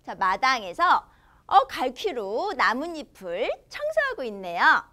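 A young woman teaching a children's language lesson, expressive and enunciating slowly for young learners. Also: high voice, a little hiss or hum. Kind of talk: children's language lesson, switching between Korean and English.

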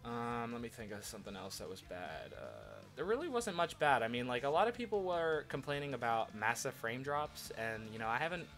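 A man's voice: medium pitch, expressive, a little fast, hardly any pauses, fairly bright tone, faint music behind. A young man with a stuffy head cold, talking casually and rambling with many fillers as he hosts his gaming channel from a desktop mic at home. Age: young adult. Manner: rambling, lots of fillers and restarts. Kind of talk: casual commentary over gameplay. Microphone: desktop USB microphone.

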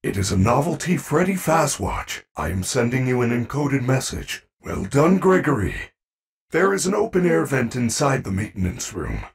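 Casting: caring animatronic bear mascot for a game, formal and earnest, lively intonation, raspy edge. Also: male voice, mid-range voice, distant mic, full character voice. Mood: earnest, concerned, then encouraging. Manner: earnest, formal, precise speech without contractions. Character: kind, protective male animatronic bear entertainer robot. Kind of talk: video game voice acting.

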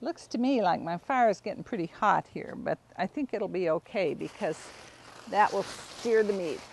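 A middle-aged woman giving a casual, unhurried cooking demonstration, explaining informally as she works outdoors. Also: mid-range voice, lively intonation, vocal fry, husky. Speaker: home cook demonstrating a recipe. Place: outdoors in a backyard garden.

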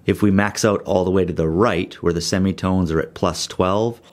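A man explaining audio editing software in a steady screen-recorded tutorial voice-over. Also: low voice, normal speed.

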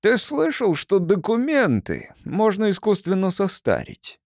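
A man's voice: high voice, huge pitch swings, unhurried, gravelly, a little mellow, no echo, clean studio sound, pitched above the performer's own voice, full character voice. A man voicing a stern, irascible monsignor who questions sharply and suspiciously in an audiobook. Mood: stern, accusing. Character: stern, irascible devil's advocate monsignor, suspicious male interrogator.

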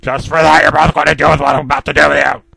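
A man's voice: a little high, flat delivery, very slow, vocal fry, exaggerated voice. A man voicing a furious, foul-mouthed grown cartoon grump who rants insults at the top of his lungs. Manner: shouting, ranting insults.

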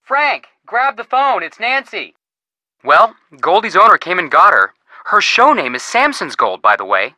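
An actor voicing an excitable, wisecracking teenage boy detective in a video game. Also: sits high, a little slow, dry recording, full character voice. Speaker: game character.

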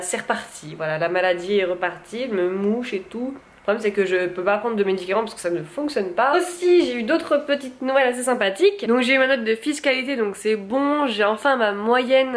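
A young woman from France chatting casually to a handheld camera in her apartment, with a stuffy nose from a cold, chatty and repeating her words. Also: fairly high pitch. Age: young adult. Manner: chatty, lots of fillers and repeated words, enthusiastic. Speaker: vlogger. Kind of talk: casual vlog chat to camera. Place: small bright apartment living room, indoors. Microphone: handheld camera at arm's length.

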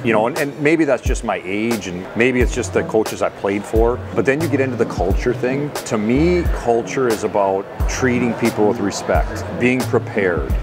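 A man's voice: mid-range voice, lively intonation, normal speed, hardly any pauses, over music. A man in his forties, a hockey coach, giving earnest, emphatic conversational answers in an interview and repeating key phrases. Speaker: college hockey head coach. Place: indoor room at a table by a window.

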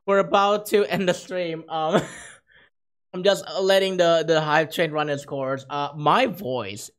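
A streamer who has talked far too long, the voice rough, hoarse and cracking at the edges. The delivery is excitable and gushing, with a self-mocking streak as they keep thanking viewers. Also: medium pitch, slow pace. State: hoarse, losing voice. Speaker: livestreamer. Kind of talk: casual stream chat with viewers.

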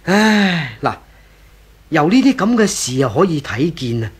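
A man's voice: fairly high pitch, very animated, unhurried, breathy edge, exaggerated voice. An actor playing a hesitant, gossiping employee in a 1980s Hong Kong Cantonese radio drama, stammering and sighing as he feigns reluctance. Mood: insinuating.

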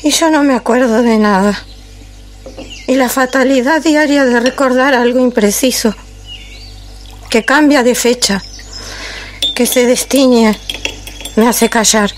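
Woman acting a slow-spoken, melancholy wife, high-voiced. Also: slight background noise, close to an everyday voice. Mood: wistful, resigned. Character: melancholy wife recalling a silent, lonely marriage. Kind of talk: poetic theatrical dramatic monologue. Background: music.

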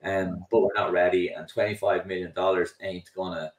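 A man's voice: low voice, flat delivery, moderate pace. A middle-aged Irish man, a company founder, explaining his work in a video call interview, often restarting and repeating words. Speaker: drone delivery company founder, guest. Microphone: webcam and computer mic on a video call. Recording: roomy video call audio, slightly distant.

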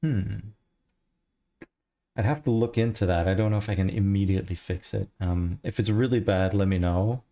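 A man in his thirties with an accent blending Australian, Irish and American, chatting in a relaxed, rambling way while he answers questions from his viewers. His voice sits fairly low and has a gravelly edge. The tone is mellow, and he talks into a headset mic in a home studio. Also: normal speed. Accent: mixed Australian, Irish and American. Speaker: livestreamer, 3D artist. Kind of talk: casual livestream chat.

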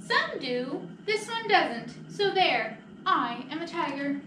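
Woman reading as a small boastful mouse, high-pitched. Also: very animated, slow pace, pushed and bright, full character voice. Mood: cocky, insistent. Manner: cocky, insistent. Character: small boastful stubborn mouse claiming to be a tiger. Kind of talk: picture-book read-aloud. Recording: echoey room, distant mic, noisy.

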